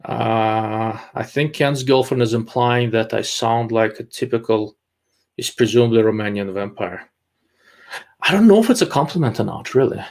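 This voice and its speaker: A man with a gravelly, creaky voice at an ordinary male pitch, hosting a casual live stream. He answers viewer questions bluntly and in his own time, pausing often with "uh" as he thinks aloud. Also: animated, darkish tone. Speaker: livestream host answering viewer chat.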